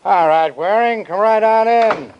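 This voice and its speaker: An actor playing a commanding, taunting homicide sergeant in a 1950s radio detective drama. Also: male voice, sits high, measured pace, muffled recording. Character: bossy, gloating male police homicide sergeant. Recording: old radio recording with faint noise.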